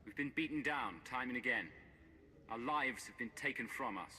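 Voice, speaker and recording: A heroic commander speaks in a mid-range, forceful voice. He is earnest and resolute, in the style of a dramatic game cutscene, over faint noise. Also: mid-range voice, normal speed. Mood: rousing, earnest.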